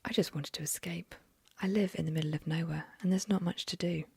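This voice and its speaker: Shy young camp girl whispered by a woman, breathy and soft, dry close sound. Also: lowish voice, steady tone, brisk, no room sound, heavily acted.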